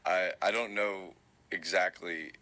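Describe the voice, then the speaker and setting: A young man, answering interview questions in a relaxed, rambling way with many fillers. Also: takes it slowly. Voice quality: low voice. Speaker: musician guest. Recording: tinny phone-like recording.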